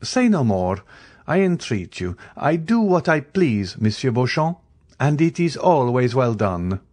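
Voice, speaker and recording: A man's voice: medium pitch, very animated, unhurried, darkish tone, voice pushed up. A man voicing a proud, mocking, coldly menacing aristocratic count in an audiobook, in a haughty, formal, declamatory manner. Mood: haughty, threatening.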